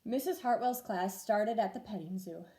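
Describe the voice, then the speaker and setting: A woman reading a children's storybook aloud as a teacher would, expressive and clearly paced for young listeners. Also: mid-range voice, normal speed. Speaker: first grade teacher reading stories aloud.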